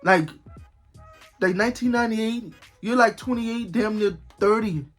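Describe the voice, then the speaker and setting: A man's voice: high voice, measured pace, soft background music. A twenty-year-old man vlogging in casual talk, rambling and repeating himself, with bursts of disbelief. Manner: rambling, repetitive, exclaiming in disbelief.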